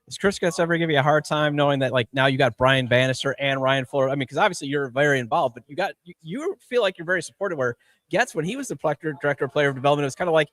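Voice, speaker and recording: Male sports podcast host. Casual, rambling, brisk pace, somewhat higher register. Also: a bit bright, dry recording. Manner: rambling, repeats and restarts phrases. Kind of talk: casual live interview.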